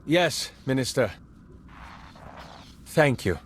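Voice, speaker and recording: A troubled intelligence agent in a video game. He is earnest, with a middling pitch and some noise behind his voice. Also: lively intonation, extremely slow. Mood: dejected.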